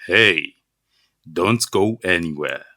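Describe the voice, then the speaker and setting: A man's voice: low-pitched, slow, sharp tone, dry recording, studio quality. A man delivering a promotional radio drama outro as announcer, in a theatrical, teasing manner.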